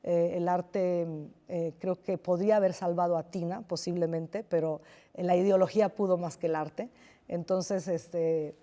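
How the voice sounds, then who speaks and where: Una escritora de origen italiano con acento italiano, entrevistada en televisión; habla reflexiva, con titubeos y muchas pausas. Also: female voice, fairly low pitch, steady tone, dark tone. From Italy. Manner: thoughtful, hesitant, many restarts and fillers. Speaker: novelist guest. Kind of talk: television interview.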